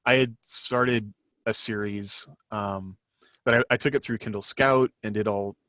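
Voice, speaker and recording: A man's voice: fairly low pitch, fairly even intonation, a little slow, sharp tone, no room sound. A man who writes books, asking questions and chatting casually as a podcast co-host. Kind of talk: casual interview chat.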